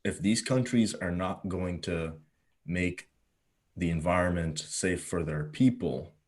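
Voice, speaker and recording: A man from Ghana co-hosting a podcast, asking questions calmly and with curiosity. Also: low voice, slow pace. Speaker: podcast co-host. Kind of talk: casual podcast discussion.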